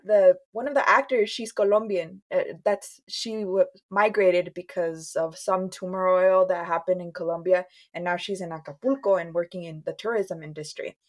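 A woman's voice: on the low side, fairly even intonation, moderate pace, hardly any pauses. A woman co-hosting a podcast in casual chat, mixing English and Spanish with occasional hesitant fillers. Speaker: podcast co-host.

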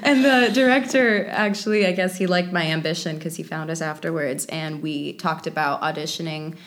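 Woman, 20s, Polish first language. Slightly low register, casual anecdotal delivery of an actress in an interview. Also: normal speed. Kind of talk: casual chat, personal storytelling.

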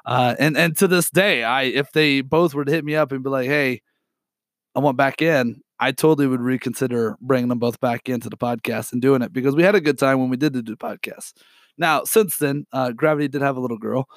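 A young man hosting a podcast alone, sick and hoarse, talking in an apologetic, rambling way with many fillers and throat clearing. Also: medium pitch, a little fast, no echo, clean studio sound. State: sick with a raspy, cracking voice. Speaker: podcast host. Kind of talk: unedited solo podcast update.

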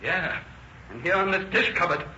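An excitable, high-pitched, stammering firefighter. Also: male voice, moderate pace, full character voice. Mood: excited, alarmed. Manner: stammering, repeats himself. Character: excitable, slow-witted male firefighter reporting to his chief. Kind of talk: 1930s radio drama acting. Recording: dull, echoey old radio recording, faint noise.

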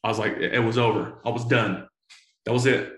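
A man in his forties telling stories in a casual interview, full of slang and swearing, excitable and often repeating himself. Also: mid-range voice, a little fast, echoey room, noisy background. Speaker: entrepreneur guest.

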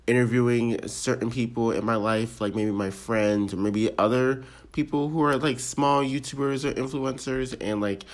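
A man's voice: mid-range voice, fairly even intonation, moderate pace, few pauses. Rambling, giggly nineteen-year-old guy. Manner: rambling, self-deprecating, lots of ums and giggles. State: sick, voice a bit off. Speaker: podcast host. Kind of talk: casual solo podcast intro.

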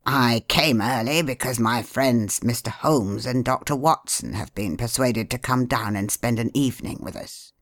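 A woman voicing a troubled middle-aged father who speaks low and heavily. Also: normal speed, hardly any pauses, dry recording, studio quality. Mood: brooding. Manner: low, heavy, brooding.

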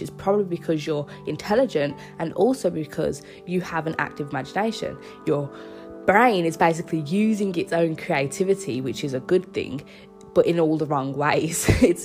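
A young woman hosts a casual solo podcast in a slightly low voice. A cold leaves her sounding a little stuffy. She talks earnestly and reassuringly, with faint music playing underneath. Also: darkish tone. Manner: earnest, reassuring, with small laughs. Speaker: podcast host.

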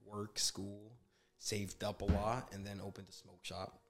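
Low-voiced, laid-back young man. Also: flat delivery, unhurried. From USA. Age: 20s. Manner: laid-back, slangy, emphatic when making a point. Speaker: podcast guest. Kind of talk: casual podcast chat.

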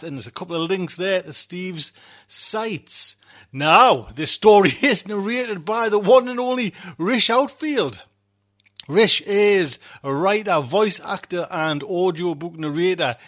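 A man's voice: high voice, fairly bright tone. A man hosting a podcast, introducing the show in a chatty, exuberant and emphatic way. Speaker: podcast host.

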